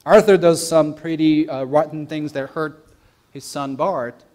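A young man preaching a sermon, speaking deliberately in short phrases with emphatic stresses. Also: on the high side, a little mellow. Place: church sanctuary.

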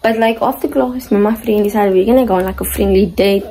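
A woman's voice: mid-range voice, fast, mellow. A young woman chatting casually to her vlog as a student, in a slangy, informal way with swearing. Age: young adult. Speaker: student vlogger.

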